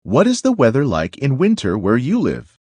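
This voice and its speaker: A man narrating a children's nonfiction book aloud in a deliberate, instructive way. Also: mid-range voice, wildly swooping pitch, a little mellow, breathy edge, no room sound.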